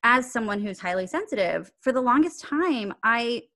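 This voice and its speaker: A woman's voice: a little high, expressive, normal speed, pushed and bright. A woman guest on a podcast, talking earnestly and reflectively in casual conversation. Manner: earnest, reflective, with bursts of laughter. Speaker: podcast guest, acting coach.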